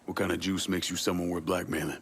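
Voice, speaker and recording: Very deep, terse, menacing criminal. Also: male voice, level tone, quick pace, vocal fry, slight background noise. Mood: cool, menacing. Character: cold, determined male criminal avenger in crime game. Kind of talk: video game dialogue.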